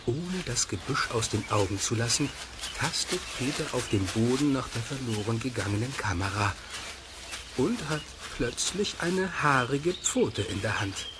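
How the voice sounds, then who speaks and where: A man's voice: medium pitch, expressive, a little slow, background noise. A man reading scripted storytelling narration for a German children's audio drama.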